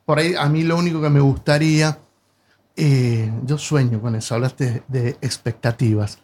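A man's voice: mid-range voice, fairly even intonation, moderate pace, a little mellow, slight background noise. Rioplatense man in his sixties, hesitant. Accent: Rioplatense Spanish. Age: 60s. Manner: hesitant, lots of "eh" fillers. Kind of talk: casual chat.

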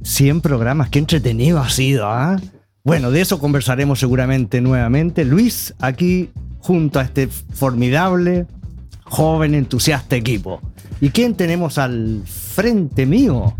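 A man co-hosting a radio show, slow and a little formal. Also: mid-range voice, animated, soft background music. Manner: slow, warm-hearted, a bit formal. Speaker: radio co-host. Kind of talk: casual radio chat.

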